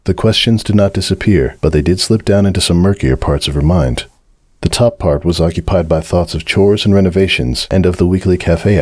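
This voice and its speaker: A low-pitched man narrates fiction in a dramatic, solemn manner. His phrasing is measured and deliberate, and the recording is close and dry. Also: darkish tone, husky, no echo. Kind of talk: dramatic scripted story narration.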